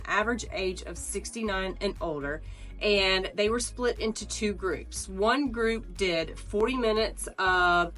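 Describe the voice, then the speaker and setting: A woman in her forties, a wellness coach, explaining training step by step, slightly nasal as she gets over the flu. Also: medium pitch. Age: 40s. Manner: warm, earnest, explains step by step with pauses. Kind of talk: instructional talk to camera.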